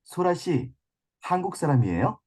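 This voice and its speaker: A woman with a low, husky voice reads her lines of a scripted practice dialogue. She speaks in a deliberate textbook manner, with lively rises and falls in pitch. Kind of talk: scripted dialogue for a listening exercise.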